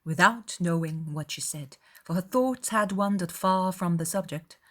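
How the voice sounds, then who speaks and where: A woman's voice: fairly low pitch, unhurried. A woman reading scripted literary narration as the narrator of a dramatized audiobook.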